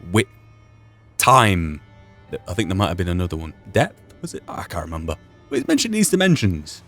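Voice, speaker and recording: A man hosting a gaming playthrough, musing aloud in a thoughtful, halting commentary. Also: on the low side. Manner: thoughtful, halting, thinking through asides. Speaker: gaming video host.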